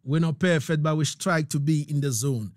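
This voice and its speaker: A man's voice: a little high, moderate pace, a little mellow, no room sound, studio quality. A man hosting a casual live radio interview, repeating phrases and checking that he is understood.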